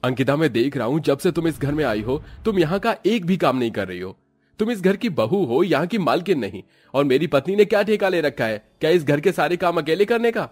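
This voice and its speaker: A man's voice: fairly high pitch, sing-song, brisk, darkish tone. A man voicing an indignant, confrontational husband defending his wife in a moral cartoon story. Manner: stern, confrontational.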